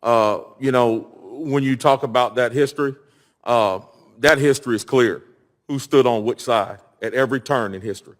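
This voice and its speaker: A man's voice: medium pitch, normal speed. Emphatic man testifying in a reverberant hall. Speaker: hearing witness, state official. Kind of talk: formal public testimony.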